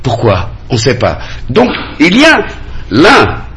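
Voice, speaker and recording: A man's voice: medium pitch, wildly swooping pitch, slow, fairly bright tone, a little hiss or hum. A man from Guiana, speaking insistently and repeating phrases as a candidate in a radio campaign debate. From French Guiana. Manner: insistent, emphatic, repeats phrases to drive points home. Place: radio studio.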